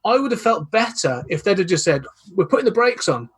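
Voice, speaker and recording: A man, a guest on a casual podcast chat, talking in a thoughtful, measured way with many asides. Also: sits high, big pitch swings, breathy edge. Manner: thoughtful, measured, hedging with "you know".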